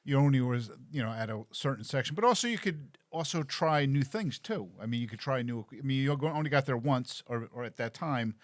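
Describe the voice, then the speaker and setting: A man's voice: medium pitch, no room sound. A man co-hosting a casual podcast, wisecracking and sometimes rambling through explanations. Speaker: podcast co-host.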